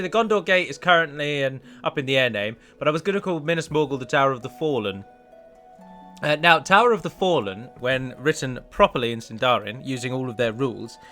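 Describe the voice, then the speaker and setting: Bright-voiced man doing casual game commentary. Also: mid-range voice. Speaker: gaming video host and mod developer. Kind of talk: casual let's play commentary.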